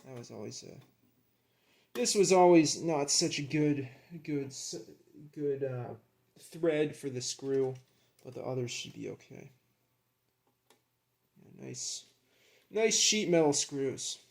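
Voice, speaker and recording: A man with noticeable vocal fry talks casually through a hands-on job. He sounds absorbed and admiring, letting small murmured asides out as he works. His voice sits at a middling pitch for a man. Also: lively intonation, takes it slowly, long pauses, a little mellow. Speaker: hobbyist demonstrating a radio rebuild. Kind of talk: casual hands-on narration while working.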